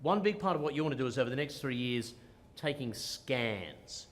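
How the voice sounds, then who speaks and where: A man's voice: mid-range voice, animated, moderate pace, slight background noise. A man interviewing a guest, asking questions in a jokey, conversational way. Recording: reverberant room.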